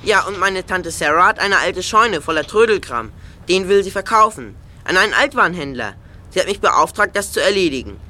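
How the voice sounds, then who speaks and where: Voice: mid-range voice, expressive, fairly quick, bright, forceful tone, slight background noise. A performer playing a polite, eager English teenage boy in an audio drama, forthcoming and a little formal. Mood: explaining. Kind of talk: German audio drama acting.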